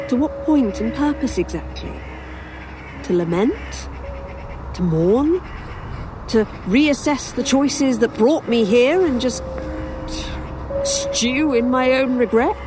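A woman's voice: sits high, lively intonation, takes it slowly, long pauses, background noise. A woman playing a defiant, weary dead writer in an audio horror drama, with a British accent and a dry, wry delivery. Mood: indignant, bitter.

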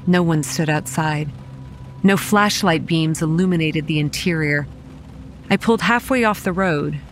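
An actress playing a young campground manager who narrates a horror audio drama in a wry, sardonic, foul-mouthed way. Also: female voice, sits low, a little hiss or hum. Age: young adult. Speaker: narrator and lead character. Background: rain and music.

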